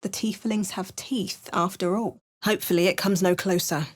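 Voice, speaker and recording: A woman's voice: mid-range voice, steady tone, normal speed, natural voice. A woman voicing a wry, battle-hardened adult adventurer who makes dry, sardonic asides and narrates her journey. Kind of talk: video game character barks and narration.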